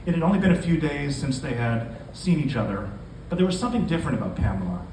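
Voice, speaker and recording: A man's voice: fairly high pitch, sing-song, quick pace, a little mellow. A man, a novelist, speaking to introduce and read his fiction at a live indoor literary event. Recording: echoey room from a distance, noisy.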